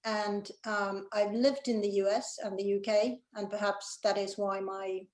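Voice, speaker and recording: A middle-aged woman from Finland with a Finnish accent leaning mid-Atlantic, chatting as an interview guest over a video call, thoughtful and pausing with frequent ums. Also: medium pitch, level tone, darkish tone. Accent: Finnish, with a mid-Atlantic lean. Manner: thoughtful, hesitant with many ums, laughs easily. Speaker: guest, executive director. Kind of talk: casual interview chat. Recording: echoey online call.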